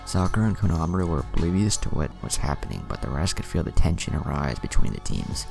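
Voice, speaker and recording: Very deep-voiced man narrating a story. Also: very animated, fast, gravelly, music in the background. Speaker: narrator reading fan fiction. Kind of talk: scripted story narration with character dialogue.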